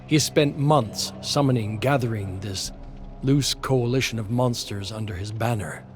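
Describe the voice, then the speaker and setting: A man reading dramatic scripted story narration in a measured, solemn way. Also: medium pitch. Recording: music behind the voice. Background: music.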